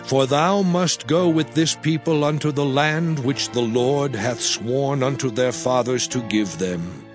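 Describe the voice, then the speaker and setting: An actor playing an aged prophet and leader, solemn and exhorting, in a grave dramatized scripture reading. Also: male voice, mid-range voice, natural voice. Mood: solemn encouragement. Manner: grave, deliberate, declamatory. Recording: background music under the voice.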